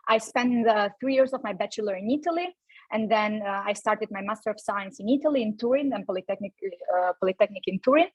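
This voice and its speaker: A woman's voice: mid-range voice, fast, darkish tone, breathy edge, no room sound, dull audio. A young woman from Albania, giving a personal talk at a company event, with many fillers and restarts. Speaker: invited speaker.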